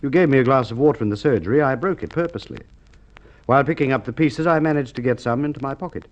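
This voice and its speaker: A man acting a courteous, methodical police inspector in an old radio crime drama, with polite, measured, probing speech. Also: mid-range voice, close to an everyday voice. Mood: confident accusation. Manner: polite, measured, hesitant probing with formal phrasing. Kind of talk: Golden Age Australian radio drama acting. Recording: muffled, noisy old radio recording with clicks.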